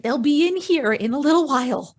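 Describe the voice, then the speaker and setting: A woman's voice: high voice, expressive, a little fast. A woman playing a scolding, panicky accomplice in an old-time radio crime drama, whiny and melodramatic. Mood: anxious, sarcastic.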